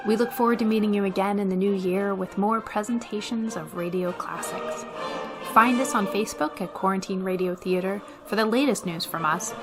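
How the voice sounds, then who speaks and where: A woman narrating a radio drama as a scripted storyteller, with emphatic, theatrical phrasing. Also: medium pitch.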